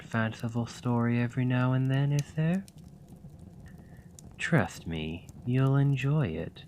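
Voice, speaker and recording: Soft, slow-reading man. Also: on the low side, a little hiss or hum. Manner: soft, gentle, soothing reading. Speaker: ASMR roleplay partner reading a story. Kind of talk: ASMR roleplay storytelling.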